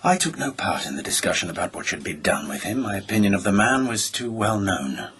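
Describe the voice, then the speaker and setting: An actor playing a haughty, disdainful aristocratic lord in a radio drama, speaking formally and with stiff indignation. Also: male voice, lowish voice, very animated, brisk, a bit bright.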